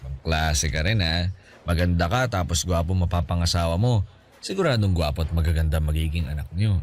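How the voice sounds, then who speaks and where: Low-voiced Filipino flirt in a radio drama. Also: male voice, a little fast. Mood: playful. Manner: teasing, chuckling, conversational. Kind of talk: Tagalog radio drama acting. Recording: music under the voice.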